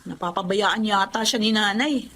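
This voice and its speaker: A woman's voice: mid-range voice, moderate pace, a bit bright, natural voice. A woman playing a blunt, suspicious working daughter in a Filipino radio-style drama. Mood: suspicious, accusing.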